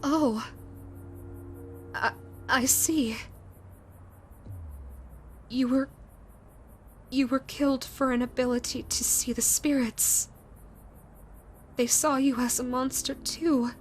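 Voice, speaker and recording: A grieving, self-loathing yokai spirit with a scarred face, as a woman acts her out, speaking high and unhurried. She stumbles and repeats her words, breaking off mid-thought as she speaks to the listener in an audio roleplay. Soft music plays faintly underneath. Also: steady tone. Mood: startled. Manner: halting, stammering, repeats words.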